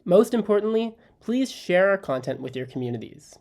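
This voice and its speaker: A woman's voice: medium pitch, very animated, normal speed, a little mellow. A woman reading a scripted podcast announcement.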